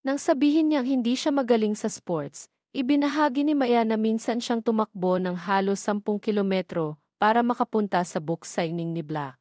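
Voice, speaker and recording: A woman with a Filipino accent reading scripted story narration in a formal, measured manner. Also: on the high side, darkish tone, no room sound, clean studio sound.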